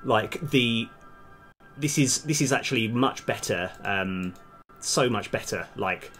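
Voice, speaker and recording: Low-voiced man thinking aloud. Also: slow, a bit bright. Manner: hesitant, thinking aloud, trailing off. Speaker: live-streaming game developer. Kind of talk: casual live coding stream chat. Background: music, keyboard typing.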